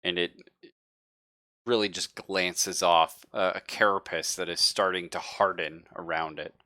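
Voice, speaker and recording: A man running a tabletop roleplaying game as game master, narrating casually and haltingly with informal, sweary wording. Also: sits low, fairly even intonation, bright, forceful tone, dry recording, studio quality.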